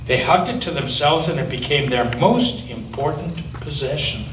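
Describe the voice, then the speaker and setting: A gentle pastor reading aloud in an echoey church. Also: male voice, fairly high pitch. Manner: gentle, lively storytelling with slow dramatic pauses. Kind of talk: reading a picture book aloud to children. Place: church sanctuary. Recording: echoey church from a distance, faint noise.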